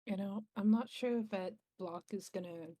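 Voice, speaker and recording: A woman's voice: mid-range voice, moderate pace, warm tone, no echo, clean studio sound. A woman chatting casually as a player in a tabletop roleplaying game.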